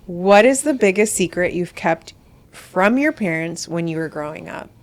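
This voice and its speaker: A woman's voice: on the low side, animated, moderate pace. A woman co-hosting a podcast, chatting casually and reading out game questions while drinking. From Hartford, Connecticut; raised in Miami and Texas. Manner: laid-back, reads questions aloud, drinking during the game. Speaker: podcast co-host. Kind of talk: casual chat.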